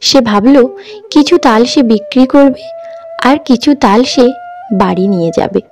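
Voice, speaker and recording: A woman's voice: on the high side, expressive, moderate pace, a little mellow. A woman narrating a scripted fairy-tale cartoon as its storyteller.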